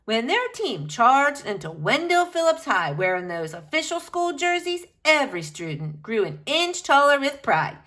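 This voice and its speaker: A woman reading a children's picture book aloud expressively, with emphatic, dramatic phrasing and drawn-out key words. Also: high-pitched, very animated, bright, forceful tone.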